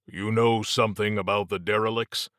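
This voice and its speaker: A man's voice: fairly low pitch, level tone, moderate pace, fairly bright tone, no echo, clean studio sound, natural voice. A man voicing a blunt, wary male investigator in an audiobook, with a direct, terse manner. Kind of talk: audiobook character acting.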